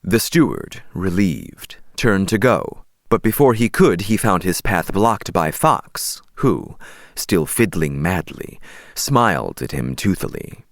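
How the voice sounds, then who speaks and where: A man's voice: sits low, animated, takes it slowly, no room sound, studio quality. A man narrating an audiobook story in a dramatic, scripted reading style.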